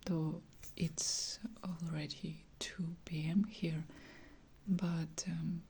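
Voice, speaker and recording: Female teacher, soft breathy whisper, very slow hesitant delivery with fillers, ASMR lesson. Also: low-pitched, level tone. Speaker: Russian language teacher.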